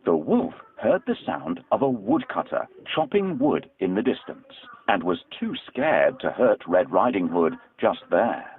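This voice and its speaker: A man narrating a children's story from a script in a dramatic, expressive storytelling manner. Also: lowish voice, measured pace, few pauses, narrow, tinny audio.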